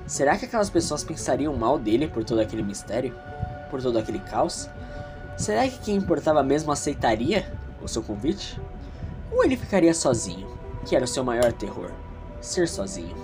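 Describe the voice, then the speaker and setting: A man's voice: medium pitch, big pitch swings, normal speed, over music. A man with a Brazilian accent narrating an audio drama in a theatrical, confiding way with chatty asides.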